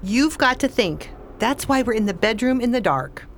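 An actress playing a wry, weary, irritable pregnant wife with a dry manner in a radio play. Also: female voice, a little high, expressive, moderate pace, a little hiss or hum. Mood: testy.

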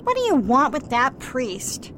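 Woman voicing a nagging suspicious mother, high voice, very slow, radio play, faint hiss. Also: very animated, fairly bright tone, heavily acted. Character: suspicious, disapproving older mother. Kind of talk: amateur radio drama acting.

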